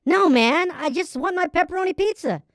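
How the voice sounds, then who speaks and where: A woman's voice: very high-pitched, animated, normal speed, no room sound, clean studio sound. A woman voicing an impatient young male customer who grows exasperated while ordering pizza in a children's comedy skit. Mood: curt. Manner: exasperated, snappish.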